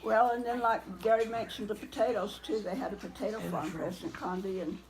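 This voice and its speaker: An older woman, a grandmother, telling family memories in a casual, halting, reminiscing way. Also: mid-range voice, fairly even intonation. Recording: noisy informal family gathering recording.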